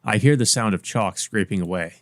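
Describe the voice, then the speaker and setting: A man with a fairly low voice reads a visual novel aloud as a let's play host. His delivery mixes narration with casual comment, recorded dry and close. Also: fairly quick, no echo.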